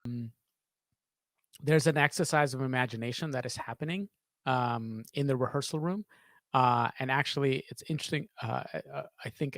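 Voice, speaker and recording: A man in his thirties, a sound designer, explaining things step by step in a halting, thoughtful way during an interview. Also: mid-range voice, no room sound. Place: small home studio with acoustic panels. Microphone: close studio microphone on boom arm, headphones.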